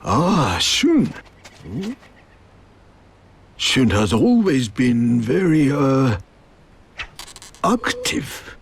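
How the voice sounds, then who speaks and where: A man voicing an ancient ninja master who narrates a game's story in a theatrical storybook manner, wise, fond and wistful, with measured dramatic pauses. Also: mid-range voice, wildly swooping pitch, full character voice. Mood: fond, reflective. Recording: music behind the voice. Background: music.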